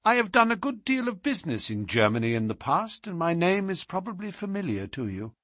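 A man voicing an urbane, wry English gentleman detective in an audiobook, courteous, precise and self-assured. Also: sits high, big pitch swings, fairly quick, no room sound, clean studio sound, voice pushed up, exaggerated voice. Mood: suave. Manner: courteous, ironic, precise, unruffled.

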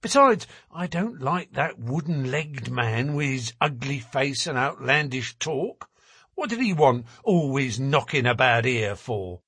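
A man's voice: mid-range voice, animated, unhurried, fairly bright tone, husky, no room sound, studio quality, full character voice. A man voicing a stout working-class wife in an audiobook, garrulous, anxious and scolding, with colloquial, deferential talk. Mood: worried. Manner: chatty and colloquial, deferential with frequent "sir". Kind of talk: audiobook character voice.

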